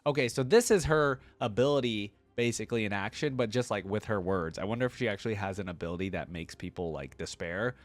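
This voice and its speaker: A man's voice: on the low side, fast, hardly any pauses. A man hosting a reaction video, reading a story aloud and adding casual commentary.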